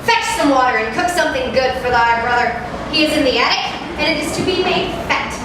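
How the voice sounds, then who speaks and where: In a very echoey, noisy space, far from the mic, comes a woman acting a cruel old witch from a storybook. The voice is high and commanding, scolding as it bosses children about. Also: brisk, natural voice. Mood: bossy, menacing. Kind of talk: storybook character reading.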